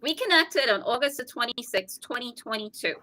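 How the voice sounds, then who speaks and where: A woman with a softened Trinidadian accent, a guest talking earnestly and explaining her ideas in a casual interview chat. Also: fairly high pitch, animated, a little fast, slight background noise.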